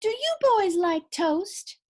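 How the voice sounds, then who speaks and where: A woman's voice: very high voice, lively intonation, slow, darkish tone, voice pushed up, exaggerated voice. A woman voicing an effusive, doting, hospitable wife in a storybook, gushing and drawing out her words.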